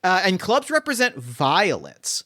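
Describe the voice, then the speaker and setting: A man's voice: sits high, wildly swooping pitch, unhurried, bright, forceful tone. A man running a tabletop game as host, chatting casually and thinking aloud with lots of fillers and restarts.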